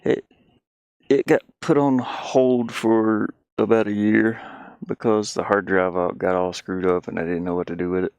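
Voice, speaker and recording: A man thinking out loud in casual livestream talk, muttering to himself as he works on a game. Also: on the low side, level tone, moderate pace, clean tone, dry recording. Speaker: indie game developer streaming.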